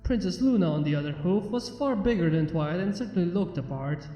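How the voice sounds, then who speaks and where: A man narrates a scripted story in a high, soft-edged voice. The sound is dulled and swims in long reverb, with music underneath. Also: animated, fairly quick. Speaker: fan fiction narrator.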